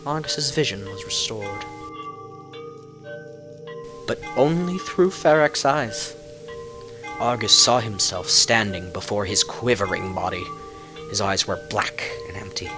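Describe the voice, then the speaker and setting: A man reading a dark tale as a dramatic narrator, with theatrical, deliberate emphasis and music behind him. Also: on the low side. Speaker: narrator reading lore books.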